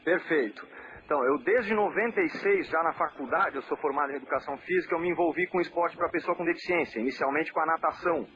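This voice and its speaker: Soft-spoken man coach, muffled audio. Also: a little high. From southern Brazil. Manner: measured, with frequent fillers and restarts. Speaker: national team coach. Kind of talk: relaxed interview answers. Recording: muffled lo-fi audio with faint noise.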